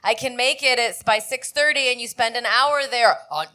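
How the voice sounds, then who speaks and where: A woman's voice: a little high, moderate pace, bright, forceful tone. A woman co-hosting a live comedy podcast on stage, giving blunt, teasing advice into a handheld mic. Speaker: podcast co-host. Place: live venue stage with audience.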